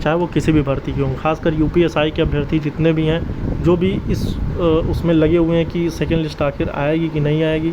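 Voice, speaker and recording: A man giving informal exam updates and advice in an earnest, urging way, addressing listeners like brothers. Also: on the high side. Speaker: exam-update video creator and advisor. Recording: dull lo-fi audio, clear outdoor traffic-like noise.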